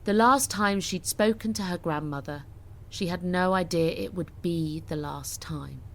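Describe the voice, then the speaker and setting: A woman's voice: lowish voice, a little slow. A woman giving scripted, dramatic story narration as an audiobook narrator. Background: music.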